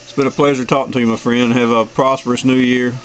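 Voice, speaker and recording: A man's voice: mid-range voice, level tone, fast, highs cut off. Folksy American man on a noisy radio recording. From United States. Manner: friendly sign-offs, folksy. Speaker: amateur radio operator. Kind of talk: casual radio contact chat.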